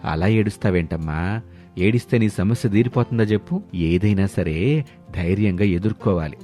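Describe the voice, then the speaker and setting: A man's voice: on the low side, expressive, normal speed, dark tone, soft background music, pitched below the performer's own voice, close to an everyday voice. A man voicing a kindly older father-in-law in a family story, reassuring and easygoing in manner. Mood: consoling.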